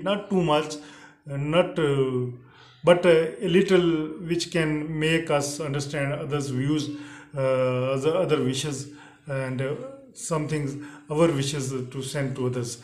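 A man's voice: a little high, slow pace. A middle-aged man, an Urdu speaker, gives a spoken English lesson straight to camera. He talks in a slightly raised register, stopping often on "uh" and starting phrases over. The room around him rings with echo. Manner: hesitant, frequent uh fillers and restarts. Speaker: English teacher. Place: indoors, plain teal backdrop. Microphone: camera in front, head and shoulders framing. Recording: echoey room.

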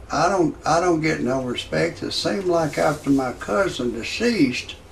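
A man, telling a rambling parody comedy monologue in a halting way, full of "uh" fillers and restarts. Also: a little high, a little hiss or hum. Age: 60s. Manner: slow, halting delivery with many "uh" fillers and restarts; gravelly voice. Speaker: comedy storyteller.

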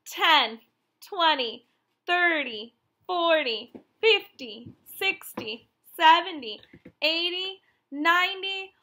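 Woman, high-pitched, slow-paced, exaggerated wonder, children's read-aloud and counting lesson, questioning the listener. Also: lively intonation, sharp tone, a little hiss or hum. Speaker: teacher reading a picture book aloud.

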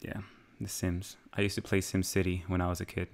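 Low-voiced guy raised in mexico, rambling casual podcast chat, laughs a lot. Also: completely flat delivery, fairly quick. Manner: rambling, musing, laughs often. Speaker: podcast co-host.

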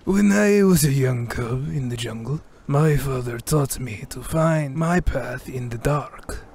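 A man voicing an older male cat-like ship navigator who talks in a slow, amused and knowing way. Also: medium pitch, a little mellow, breathy edge.

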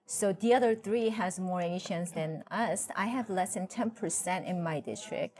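A middle-aged woman with a Korean accent and a fairly low voice, Korean being her first language. She answers as a studio guest in an earnest, chatty way, leaning on "you know," and the lapel mic picks her up cleanly. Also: normal speed, darkish tone. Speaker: county supervisor, guest. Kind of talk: TV interview.

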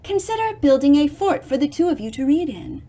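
High-voiced, highly animated woman storyteller. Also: fairly quick. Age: middle-aged. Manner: expressive, theatrical, with dramatic emphasis and sound effects. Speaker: storyteller reading picture books aloud to children. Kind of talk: animated read-aloud with explanations for young children.

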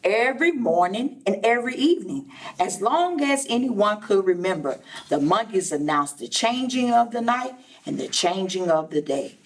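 A middle-aged woman, a preschool teacher, reading a story aloud to young children in a deliberate, explanatory way with repeated phrases and asides. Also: mid-range voice, big pitch swings. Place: indoor classroom. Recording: distant microphone.

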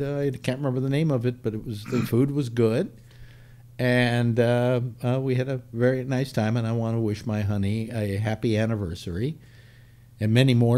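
An older man hosting a casual video-call chat, rambling with halting restarts and self-mocking jokes. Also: mid-range voice, warm tone. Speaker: host. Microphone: webcam on a video call.

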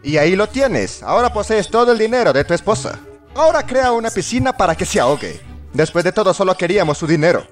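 A man's voice: high-pitched, very animated, quick pace. A man narrating a scripted comedy parody in a sarcastic, exaggerated, exclamatory manner. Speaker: fandub narrator. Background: music.

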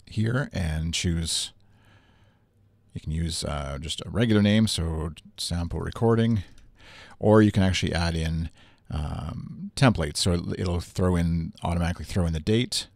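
A young man with a bassy voice, talking through a software tutorial in a relaxed way with plenty of fillers, on a studio microphone. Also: gravelly, dry recording. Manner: relaxed explaining with frequent fillers. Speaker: tutorial presenter. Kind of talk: casual screen-recorded software tutorial. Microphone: studio microphone through USB interface.

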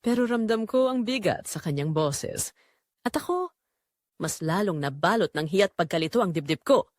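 A woman's voice: on the low side, expressive, moderate pace, dry recording, studio quality. A woman with a Filipino accent reading a first-person scripted drama in a confessional, storytelling manner. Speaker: audio drama narrator.

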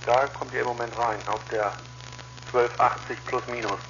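A man's voice: medium pitch, steady tone, normal speed, fairly bright tone. A man in a casual two-way chat as an amateur radio operator, heard over a radio link. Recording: lo-fi ham radio link with hiss.

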